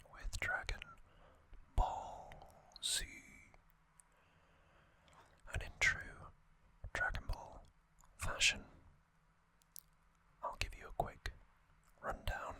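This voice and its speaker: An ASMR host giving a relaxed recap in a soft, unvoiced whisper, with casual fillers and small asides.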